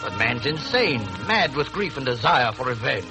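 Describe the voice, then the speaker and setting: Animated, commanding police inspector. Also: male voice, mid-range voice, moderate pace, over music. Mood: grave, urgent. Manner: authoritative, urgent, clipped. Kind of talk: 1940s radio drama acting.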